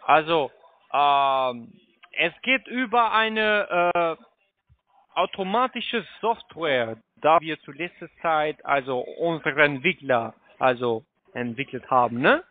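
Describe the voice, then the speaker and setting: A man with a foreign accent in non-native German making a polite, halting telephone sales pitch over a phone line. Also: lively intonation, dry recording. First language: not German. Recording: tinny, narrow phone line.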